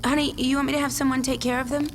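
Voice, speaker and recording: A woman's voice: high-pitched, little pitch movement, very fast, lightly acted. An actress playing the catty, mocking girlfriend of a gang boss in a video game, snide and teasing. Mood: cold. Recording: game audio with background noise.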